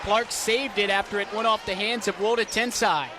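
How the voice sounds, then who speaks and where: A young American man doing sports broadcast commentary in clipped, energetic phrases, as an announcer and host. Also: high-pitched, moderate pace, crowd noise, noisy background. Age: young adult.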